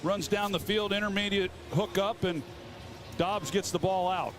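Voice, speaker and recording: A man's voice: high voice, normal speed, noisy background. A man giving analytical football commentary as a television color analyst.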